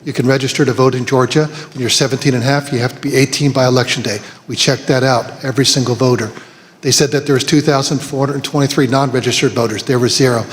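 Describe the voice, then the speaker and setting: A man's voice: medium pitch, fairly even intonation, fast talker, slight background noise. Firm, methodical man testifying in a hall. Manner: firm, methodical, lists figures. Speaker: testifying official. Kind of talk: hearing testimony. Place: large hearing room. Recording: long hall reverb with faint ambience.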